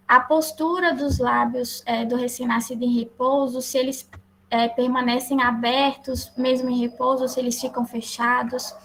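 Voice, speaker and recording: A woman with a Brazilian accent presenting slides as a lecturer, explaining in a halting way. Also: on the high side, flat delivery, fairly bright tone, low-quality recording. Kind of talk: slide presentation to health professionals. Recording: clear background noise.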